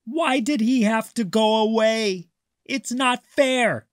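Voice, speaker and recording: A man's voice: high-pitched, slow pace, no echo, studio quality, voice pushed up. A man voicing a young boy shark from a storybook, plaintive, childlike and pouty. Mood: upset.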